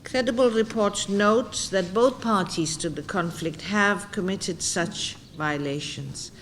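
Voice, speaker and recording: A woman delivering a formal prepared speech at a conference in a measured way. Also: mid-range voice, slight background noise. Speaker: speaker at a conference. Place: conference room.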